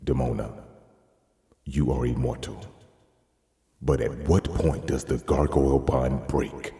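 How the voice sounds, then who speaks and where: An immense gargoyle from an audio drama, extremely deep and gravelly. He speaks slowly and gravely, a weary, tender beloved. Long reverb trails every word. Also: steady tone, warm tone, exaggerated voice. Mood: tender, solemn. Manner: slow, grave, pleading. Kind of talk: fan fiction audio drama.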